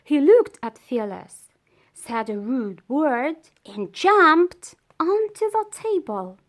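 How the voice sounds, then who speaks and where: A woman reading a children's story aloud in a deliberate way, pausing between phrases. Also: high-pitched, big pitch swings. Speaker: storybook reader.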